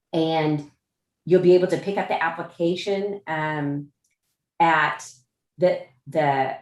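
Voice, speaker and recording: A woman chairing a meeting on a video call, informally explaining procedure in a halting way, often rephrasing her points. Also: sits low, a little mellow. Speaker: chair of a judicial nominating commission meeting. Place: indoor room on a Zoom conference call. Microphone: video call microphone. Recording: roomy, echoing video-call audio.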